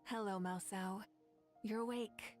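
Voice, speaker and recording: A woman's voice: mid-range voice, fairly even intonation, a little slow, close to an everyday voice. A woman voicing a confident, kindly female warrior leader in a video game, composed, assured and encouraging. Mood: gentle. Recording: soft game music behind.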